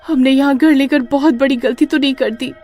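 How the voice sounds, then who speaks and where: A woman voicing a frightened but brave young wife with shrill, dramatic exclamations. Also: steady tone, brisk, darkish tone, natural voice. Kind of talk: Hindi storybook voice acting.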